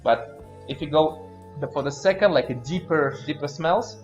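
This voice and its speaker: A man's voice: a little high, normal speed. A man from Kazakhstan, a brewer, co-hosting a casual livestream beer tasting in a playful, teasing manner. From Kazakhstan, from Astana. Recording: muffled livestream audio with music behind. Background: clear background music.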